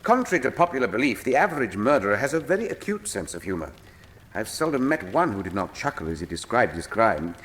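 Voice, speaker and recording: An actor playing a cool, witty, condescending Victorian detective in a radio drama, with a British accent and precise, dry delivery. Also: male voice, fairly low pitch, very animated, quick pace, a bit bright. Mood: dryly amused. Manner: precise, dry, lofty, theatrical. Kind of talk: full-cast radio drama acting. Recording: reverberant hall sound.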